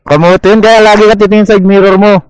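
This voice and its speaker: Higher-voiced man in casual riding chat. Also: fairly even intonation, fast, smooth. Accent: Filipino. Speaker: motorcycle vlogger.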